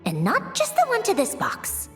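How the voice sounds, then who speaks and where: A woman voicing a haughty, irritable young female clockwork puppet in a video game, measured and disdainful. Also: extremely high pitch, huge pitch swings, music in the background, exaggerated voice. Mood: exasperated. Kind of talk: video game character acting.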